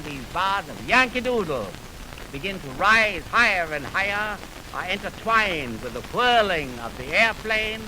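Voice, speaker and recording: A crackling, hiss-laden 1929 radio recording carries a man with a fairly high voice. He speaks formally and declaims in deliberate phrases, like a lecturer introducing an orchestral piece to listeners. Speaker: radio music presenter. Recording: noisy, crackling 1929 radio aircheck on film. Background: steady hiss and crackle of old recording.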